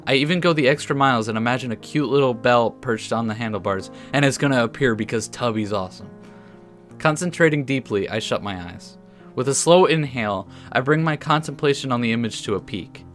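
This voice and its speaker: A man presents a let's play, switching between casual remarks and narrated story text. His voice sits at a medium pitch, and he sometimes trips over words as he reads. Gentle guitar music plays behind him. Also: fairly quick. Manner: casual, stumbles over words when reading aloud. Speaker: gaming video host. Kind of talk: let's play commentary mixed with reading story text aloud. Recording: music under the voice.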